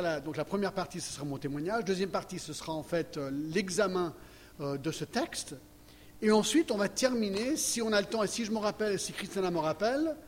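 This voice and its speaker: A man in his sixties delivers a sermon in a conversational way and keeps asking his listeners whether they follow. His voice sits somewhat high for a man. The sound carries a long hall reverb over faint background ambience. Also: a little hiss or hum. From American, raised in Geneva. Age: 60s. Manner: chatty, checks in with audience often. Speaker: preacher. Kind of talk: sermon with personal testimony. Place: church hall.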